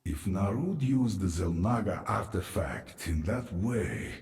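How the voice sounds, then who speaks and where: An actor playing a shrewd, sardonic military ally in a video game, measured and dry. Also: male voice, low voice, big pitch swings, a little mellow, heavily acted. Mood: pondering. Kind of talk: dramatic video game cutscene acting. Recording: echoing game audio with faint ambient noise.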